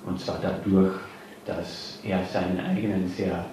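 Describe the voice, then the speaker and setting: A man's voice: sits low, little pitch movement, measured pace. Hesitant man, echoey hall. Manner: hesitant, many fillers and restarts. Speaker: author giving a reading. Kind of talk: informal introduction before a reading. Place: reading hall before an audience. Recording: echoey hall, distant mic, noise.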